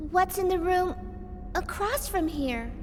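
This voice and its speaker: A woman's voice: very high voice, lively intonation, slow, faint music behind, full character voice. A woman voicing an inquisitive young girl in a sci-fi video game. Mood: curious.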